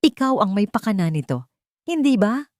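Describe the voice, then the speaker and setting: A woman voicing a brooding, protective husband in a narrated drama, with quiet, emphatic menace. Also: mid-range voice, very animated, normal speed, no echo, studio quality, pitched above the performer's own voice, natural voice. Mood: angry, threatening.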